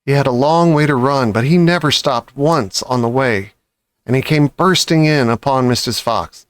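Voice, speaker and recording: A man's voice: mid-range voice, normal speed, no room sound. A man reading a children's story aloud as scripted narration. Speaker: audiobook reader.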